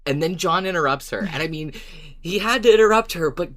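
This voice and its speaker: A woman's voice: on the low side, expressive, a little fast. A woman hosting a casual fan discussion about a fiction podcast, speaking enthusiastically and emphatically and swearing casually. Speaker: podcast host.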